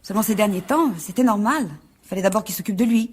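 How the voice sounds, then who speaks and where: A woman's voice: medium pitch, fast talker, breathy edge, lightly acted. A woman playing a spirited, emotional young actress in a French radio drama. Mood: proud, defiant. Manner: emotional, expressive. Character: hopeful, spirited young actress, easily moved, quick to reproach.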